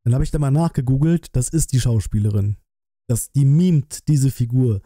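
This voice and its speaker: A man's voice: mid-range voice, moderate pace, mellow, no room sound. A man, giving casual live-stream commentary in a colloquial, musing way, close on the mic. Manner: musing, colloquial, thinking aloud. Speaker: streamer reacting to a game trailer.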